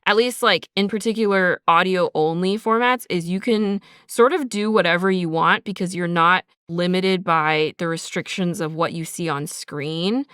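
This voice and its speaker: A woman's voice: lowish voice, moderate pace, hardly any pauses, fairly bright tone, clear, resonant tone, dry recording, studio quality. A woman co-hosting a podcast in an informal, encouraging way, chatting casually with lots of "like". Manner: informal, encouraging, frequent "like" and "sort of". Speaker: podcast co-host and sound designer. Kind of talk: casual conversational podcast talk.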